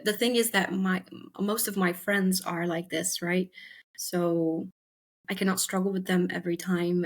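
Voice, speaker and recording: A young woman from Italy answers podcast questions in a slightly low voice. She thinks aloud, pausing and filling gaps as she explains herself. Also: husky. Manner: thoughtful, hesitant, with fillers. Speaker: podcast guest. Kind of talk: casual interview.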